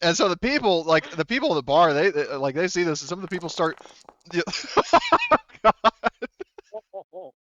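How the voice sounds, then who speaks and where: A man's voice: high-pitched, wildly swooping pitch, normal speed, long pauses, fairly bright tone, no room sound, highs cut off. A man working as game master, narrating a tabletop roleplaying game off the cuff in a casual, profane way.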